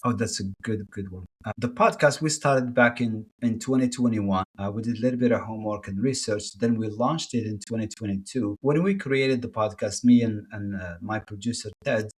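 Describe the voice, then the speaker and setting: A man's voice: fairly low pitch, moderate pace, few pauses, slightly rough. A man from Morocco, a podcast guest answering questions in a casual, enthusiastic way, often restarting his phrases. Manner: warm, enthusiastic, many restarts and fillers.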